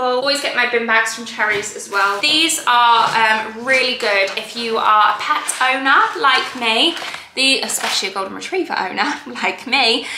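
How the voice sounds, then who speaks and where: A woman's voice: on the high side, sharp tone, slight background noise. Chatty young woman in an echoey room. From England. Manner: chatty and upbeat, listing items one by one. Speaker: lifestyle vlogger. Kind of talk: sponsored haul vlog, talking to camera. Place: large bare room at home, indoors.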